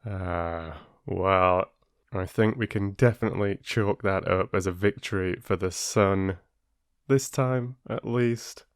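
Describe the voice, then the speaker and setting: A man narrating as an audiobook storyteller, with expressive, deliberate and dramatic phrasing, close to the microphone. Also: low-pitched, no room sound. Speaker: storyteller and channel host.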